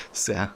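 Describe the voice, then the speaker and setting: A man with a German accent, a native German speaker, chatting casually while streaming, relaxed and rambling with many fillers. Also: slight background noise. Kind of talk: casual live-stream commentary.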